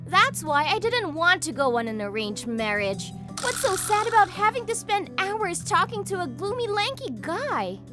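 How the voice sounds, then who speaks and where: A woman voicing a haughty young rich heiress, sharp-tongued and scornful, in an anime-style manga dub. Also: sits high, sing-song, normal speed, hardly any pauses, music in the background.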